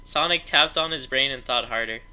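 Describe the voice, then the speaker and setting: Bright, sharp-voiced man reading a story. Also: mid-range voice, moderate pace, clean tone. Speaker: narrator of a story read-along. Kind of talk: amused reading aloud of amateur fan fiction.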